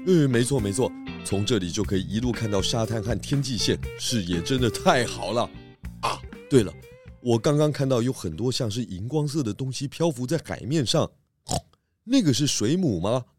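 A man's voice: medium pitch, expressive, moderate pace, faint music behind, natural voice. A man voicing a jolly male pig detective in a kids' audio drama, cheerful and greedy for food.

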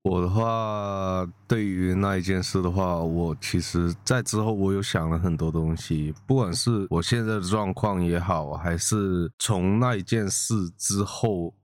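A man's voice: deep voice, a little slow, hardly any pauses, no room sound, studio quality. A man co-hosting a casual podcast, speaking earnestly and a little hesitantly, circling back over his phrases. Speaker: podcast co-host. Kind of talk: casual chat.

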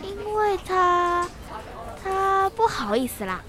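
High-voiced cheerful schoolgirl. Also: very animated, slow pace, a bit bright, heavily acted. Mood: explaining. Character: cheerful schoolgirl helping at family squid soup stall. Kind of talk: children's radio drama acting.

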